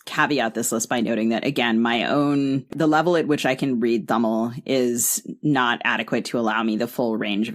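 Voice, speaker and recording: A woman speaking as an author in an interview, giving articulate, carefully qualified answers with emphatic repetition. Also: extremely low pitch. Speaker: author, podcast guest. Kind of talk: thoughtful conversational interview answers.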